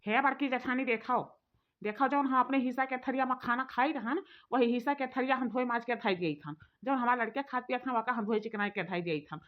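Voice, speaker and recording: A woman voicing a fiery younger sister-in-law, with an Awadhi accent, complaining and sharp-tongued. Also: a little high, brisk, close to an everyday voice. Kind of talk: cartoon voice acting. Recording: dull lo-fi recording.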